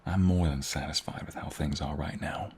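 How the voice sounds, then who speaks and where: A man playing a protective, flirtatious ex-mobster husband in an intimate ASMR roleplay, tender but menacing, with breathy murmurs and a teasing manner. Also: steady tone, moderate pace, darkish tone, slight background noise. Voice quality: deep, gravelly, often breathy murmur. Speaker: voice actor.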